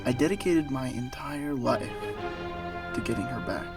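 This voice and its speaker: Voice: mid-range voice, moderate pace, lightly acted. An actor voicing Billy, an earnest, disillusioned young alien hunter with a wounded air, in an audio drama. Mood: hesitant, confiding. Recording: music under the voice.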